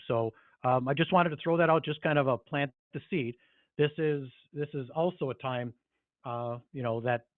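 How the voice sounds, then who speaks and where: A man's voice: mid-range voice, dry recording. Man, tinny dry phone line, informal business presenter, hesitant, rambling, conversational. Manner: hesitant, rambling, conversational with frequent "you know". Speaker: HR conference call presenter. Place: remote conference call.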